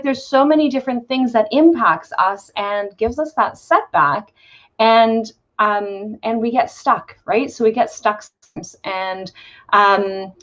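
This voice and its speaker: A woman hosting a live-streamed interview, bubbly and excited, with many ums and uhs. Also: mid-range voice, lo-fi sound. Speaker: host.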